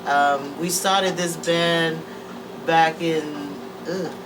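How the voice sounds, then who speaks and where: A woman's voice: deep voice, fairly even intonation, drawn-out words. Woman in her sixties, mic set back. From Trinidad and Tobago. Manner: easygoing, hesitant, many fillers. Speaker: interview guest, musician. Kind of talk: casual interview talk. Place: music production room. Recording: distant mic with air-conditioning hum.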